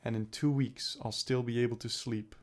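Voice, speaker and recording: A man's voice: medium pitch, fairly even intonation, normal speed. A young man recovering from a cold, rambling and swearing as he thinks aloud in a personal video diary. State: recovering from a cold, throat clearing up. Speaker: vlogger.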